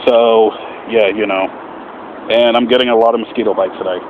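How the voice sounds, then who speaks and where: A man's voice: on the low side, steady tone, a little fast. A man explaining things casually in a rambling, candid vlog manner. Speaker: amateur voice actor, video host. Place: outdoors in a public park. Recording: noisy, dull outdoor park recording.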